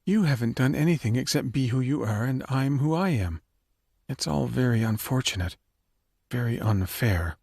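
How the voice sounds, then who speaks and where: A man's voice: mid-range voice, animated, moderate pace, vocal fry, a little mellow, slightly rough, no echo, pitched above the performer's own voice. A man reading an audiobook, voicing a serious, earnest young woman time traveler. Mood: tearful. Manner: earnest, matter-of-fact, close to tears at times. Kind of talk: audiobook character voice.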